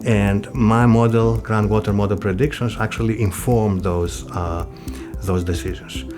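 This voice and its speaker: A middle-aged man with a low voice and a Greek accent speaks as a professor explaining his work. Music plays clearly behind him. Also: steady tone, measured pace, darkish tone. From Greece. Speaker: engineering professor. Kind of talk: explanatory interview talk about own research. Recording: music bed under the voice. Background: background music.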